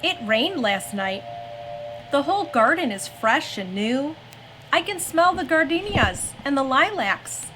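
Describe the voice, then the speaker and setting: A woman reading a children's story aloud in an expressive, gentle storytelling manner. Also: sits high, measured pace, slight background noise, rough recording. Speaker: mother reading aloud on a family story-time show. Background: background music.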